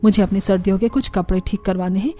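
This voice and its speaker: A woman's voice: medium pitch, fairly even intonation, fast talker, warm tone, faint music behind, close to an everyday voice. A woman voicing a bossy older mother-in-law who relishes her food, in a cartoon story, speaking in commands. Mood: instructing.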